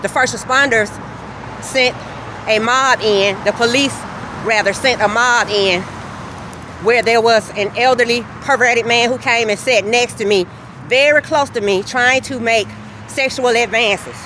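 A woman narrating a walking vlog on city streets, filming on her phone, telling her story in an earnest, steady way. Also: a little high, unhurried, poor audio. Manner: earnest, steady, recounting grievances. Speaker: vlogger. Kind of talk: walking tour vlog, personal account. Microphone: phone camera held while walking. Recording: faint street noise outdoors.

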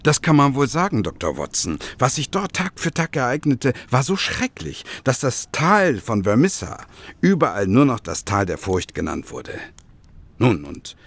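An actor playing a grave former detective who recounts his past in a measured, dramatic way in a German radio play. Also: mid-range voice, wildly swooping pitch, fairly bright tone, full character voice. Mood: grave, ominous.

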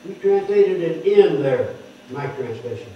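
An older man preaching at a lectern, explaining scripture word by word and stressing key words loudly. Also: a little high, expressive, unhurried, distant mic, a little hiss or hum. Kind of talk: sermon teaching scripture. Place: wood-paneled church hall, speaking at a lectern. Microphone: microphone on the lectern, heard from a distant camera. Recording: echoey hall heard from afar, dull.

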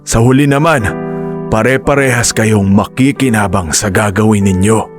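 A man's voice: fairly low pitch, moderate pace, over music, natural voice. A man playing an older father who scolds his grown son in a radio drama. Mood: scolding. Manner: stern fatherly advice. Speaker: voice actor.

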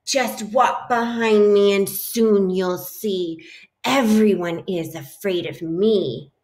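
A woman voicing a big, boastful male monster from a picture book, speaking slowly. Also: medium pitch, a little mellow, natural voice. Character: big hairy male monster, boastful then easily scared.

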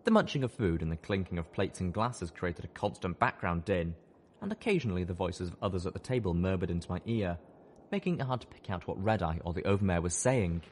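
A man's voice: low voice, expressive, a little fast, darkish tone, a little hiss or hum. A man giving dramatic scripted narration of a story as an audiobook narrator.

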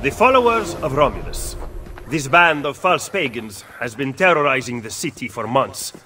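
An earnest young Roman rebel. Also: male voice, medium pitch, expressive, moderate pace, bright, forceful tone, slight background noise. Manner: earnest, urgent. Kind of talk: video game cutscene dialogue.

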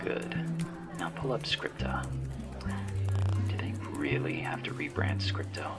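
Low whispering, snide cyberpunk handler, acted by one performer. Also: animated, unhurried, gravelly, over loud music, full character voice. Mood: impatient, sardonic. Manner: sardonic, condescending, formal wording. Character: snide, exasperated cyberpunk handler guiding a hitman. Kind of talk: whispered ASMR roleplay.

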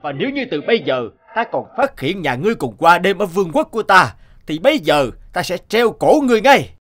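A man voicing a tyrannical middle-aged king, imperious and scolding, in an animated fairy tale. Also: high voice, brisk. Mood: menacing.

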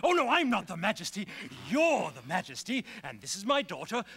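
A man's voice: high voice, huge pitch swings, brisk, gravelly, a bit bright, full character voice. A man voicing a bumbling middle-aged pig father, a miller who stammers nervously and is eager to please. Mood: nervous stammering. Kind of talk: comic fairy-tale puppet acting.

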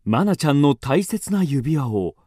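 A man narrating a radio drama story in a dramatic, expressive storytelling manner. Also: on the high side, a little slow, dry recording, studio quality.

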